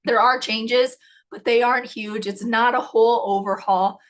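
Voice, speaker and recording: A woman's voice: a little high, fairly even intonation, moderate pace. A woman hosting a live nursing webinar, upbeat and reassuring, her voice scratchy because she is losing it. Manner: upbeat, reassuring, filler-heavy.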